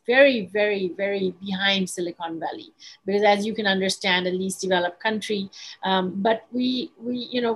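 A middle-aged woman from Bangladesh, a guest tech investor speaking in a measured way in an online interview and often restarting her words. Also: mid-range voice.

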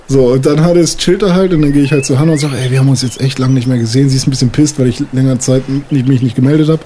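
A man's voice: medium pitch, steady tone, rapid-fire, mellow, slight background noise. A young man improvising a story as a player in a tabletop role-playing game, chatting casually and with slang. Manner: informal, slangy.

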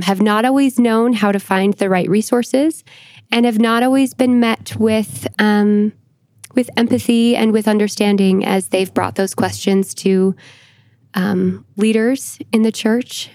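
A young woman, a historian, speaks with earnest care, often pausing on fillers. Her pitch sits at an ordinary middle, and the recording is dry and close. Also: a little mellow, no room sound. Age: young adult. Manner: earnest, careful, many fillers. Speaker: historian, guest. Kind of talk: interview conversation.

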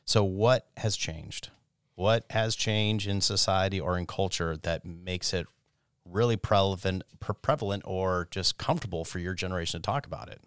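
A man's voice: low voice, normal speed, fairly bright tone, clean tone, no echo, clean studio sound. A middle-aged man hosting a church podcast, introducing topics and putting questions to his guests. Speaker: podcast host and lay church elder.

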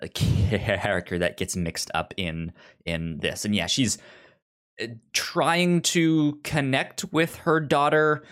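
A podcast host talking casually through a review in a halting way, often stuttering and repeating the first sounds of words. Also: medium pitch, fairly even intonation, a bit bright.

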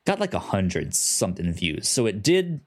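A man with a stutter hosting a casual podcast, halting with many ums and restarts. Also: deep voice, sing-song. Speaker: podcast host.

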